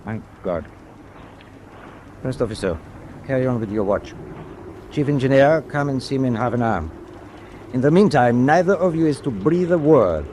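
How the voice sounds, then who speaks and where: An actor playing an imperious German wartime sea captain in a radio drama, curt, commanding and impatient. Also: male voice, mid-range voice, lively intonation, normal speed, long pauses, a little mellow, slight background noise, natural voice. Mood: grave, commanding.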